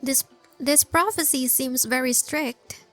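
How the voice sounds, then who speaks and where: High, bright-voiced woman casually commenting on gameplay. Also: slow pace, faint music behind. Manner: hesitant, musing aloud. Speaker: game player and commentator.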